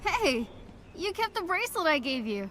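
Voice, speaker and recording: A woman's voice: very high-pitched, very animated, normal speed, pushed and bright, a little hiss or hum, full character voice. A woman playing an eager, modest, starstruck young superhero girl in a video game, bashful and a little breathless. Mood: shy, pleased. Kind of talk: animated video game dialogue.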